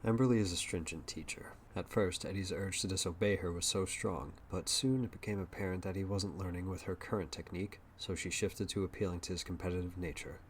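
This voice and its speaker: A man's voice: deep voice, fairly quick, hardly any pauses, slight background noise. A man reading scripted story narration as the narrator of an audio drama.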